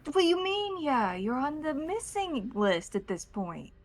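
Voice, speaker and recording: A woman voicing a gruff, blunt male police colleague on a phone call in a tabletop roleplaying game. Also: high voice, sing-song, voice pushed up, exaggerated voice. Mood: irritated, surprised. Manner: swearing, blunt, halting cop talk.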